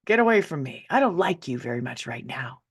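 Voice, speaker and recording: A woman voicing a harsh, impatient, dismissive mother in an audiobook, loud and cutting. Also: very deep voice, sing-song, a little fast, slightly rough, heavily acted. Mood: angry, dismissive. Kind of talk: acted audiobook dialogue.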